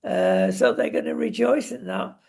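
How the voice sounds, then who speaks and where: A talk show presenter with a gravelly, husky voice, giving wry, opinionated takes in conversational talk about current affairs. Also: medium pitch, wildly swooping pitch, moderate pace, a little mellow.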